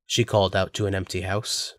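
A man's voice: low-pitched, little pitch movement, fairly quick, no room sound, clean studio sound. A man narrating a scripted audio drama as its storyteller.

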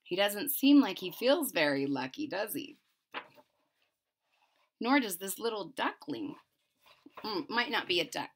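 A woman's voice: medium pitch, big pitch swings, unhurried, pauses often, a little hiss or hum. A woman in her forties reading a children's picture book aloud expressively, stressing key words theatrically and adding casual asides. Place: small room at home.

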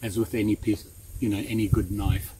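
A man in his sixties giving a relaxed, chatty hands-on knife review outdoors in the woods, with plenty of fillers and restarts. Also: on the low side, a little fast, background noise. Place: outdoors in woods, kneeling in the undergrowth.

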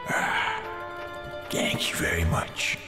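An actor playing a male weasel henchman in a video game, talking like a gruff gangster tough guy. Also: takes it slowly, close to an everyday voice. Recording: loud game music behind.

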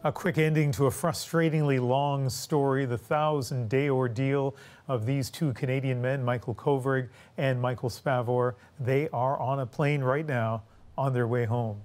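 A man anchoring a live TV news broadcast from the studio with a brisk, polished delivery. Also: mid-range voice, steady tone, darkish tone.

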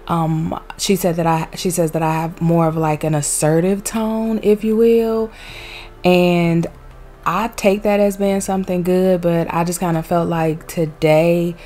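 Low-voiced chatty woman vlogging. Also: mellow, slight background noise. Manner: chatty, peppy, uses Southern US slang. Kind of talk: casual chatty voice-over. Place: home room with loud heater. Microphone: desk microphone.

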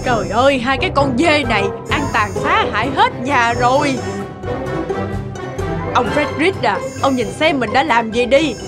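A woman's voice: expressive, moderate pace. A woman voicing an exasperated middle-aged peasant wife who nags and exclaims in a folktale cartoon. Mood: scolding. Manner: shrill nagging, exclaiming. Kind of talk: animated folktale cartoon dubbing. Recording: loud music under dialogue.

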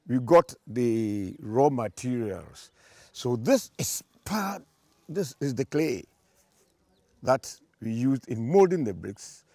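An older man, explaining things outdoors at a building site, slow and deliberate with pauses between phrases. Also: mid-range voice, mellow, dry recording.

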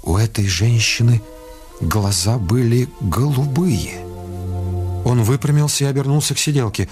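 A man narrating a scripted radio drama in a measured, literary storytelling manner. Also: medium pitch, over music.

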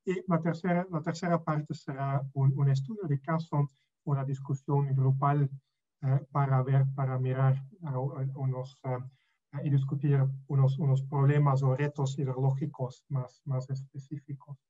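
A middle-aged man from Belgium, not a native speaker, lecturing as a professor on a video call, halting with many fillers and restarts. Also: mid-range voice, level tone, mellow. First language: not Spanish. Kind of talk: online lecture. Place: room at home. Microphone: webcam on a video call. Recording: dull lo-fi video call audio.

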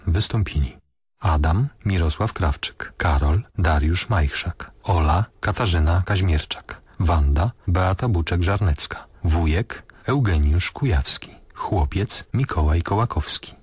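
Male announcer for radio credits; very low, husky, dry studio sound. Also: unhurried, dry recording. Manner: even, formal announcing. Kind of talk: reading radio drama credits.

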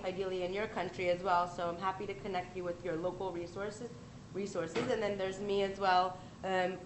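An American woman in her thirties leading a live tech tutorial, chatty with quick asides and self-corrections. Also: on the low side. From United States. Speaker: tech workshop presenter. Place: large conference room. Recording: echoey conference room with background noise.

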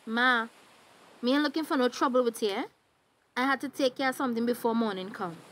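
A woman's voice: on the high side, a little fast, a bit bright, natural voice. A young woman with a Tobagonian accent acting a headstrong, lovestruck, anxious character in a radio drama. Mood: defensive.

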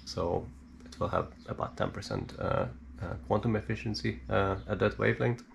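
A man speaks in a low register with a creaky, gravelly edge. He explains equipment as a hobbyist presenter, unscripted and halting, pausing often to think. There is audible noise behind his voice. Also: fairly even intonation. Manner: hesitant, halting, thinking aloud with many pauses. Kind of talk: unscripted hands-on technical explanation. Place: indoor room at a cluttered worktable.

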